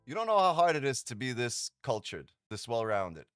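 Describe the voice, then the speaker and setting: A man's voice: medium pitch, big pitch swings, normal speed, fairly bright tone, clean tone, dry recording, soft background music. A man hosting a day-trading livestream, chatting casually, joking and swearing often. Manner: joking, profane, laughs often.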